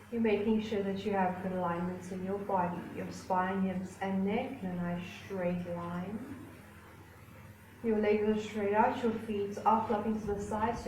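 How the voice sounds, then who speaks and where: A woman yoga instructor leading a guided relaxation class in a soothing, unhurried manner with gentle pauses. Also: mid-range voice, a little hiss or hum. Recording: roomy echo with faint music behind.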